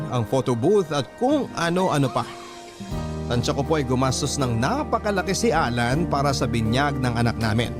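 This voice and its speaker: A man with a Filipino accent reading a letter as scripted dramatic storytelling, as a radio host. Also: mid-range voice.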